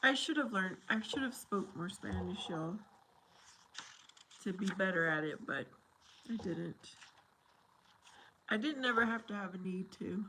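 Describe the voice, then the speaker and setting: A woman chats easily with her livestream viewers. She talks slowly and unhurriedly, at a mid pitch, and drops Spanish phrases into her English. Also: lively intonation, sharp tone, a little hiss or hum, low-quality recording. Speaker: livestream host. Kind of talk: casual livestream chat with viewers.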